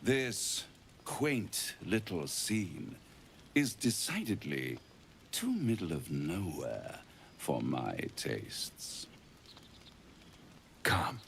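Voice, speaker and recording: A low-voiced, gravelly gentleman devil, suave and pompous. His slow, florid game-villain delivery swoops dramatically, dripping with sinister courtesy. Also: sing-song, a little hiss or hum, heavily acted. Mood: mock disdain. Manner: florid, drawling, theatrically pompous. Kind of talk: video game cutscene acting.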